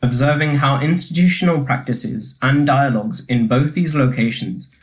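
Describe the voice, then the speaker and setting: A man reading an academic podcast script aloud. His delivery is measured and steady, like a prepared reading. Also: medium pitch, poor audio. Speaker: podcast narrator. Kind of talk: scripted narration.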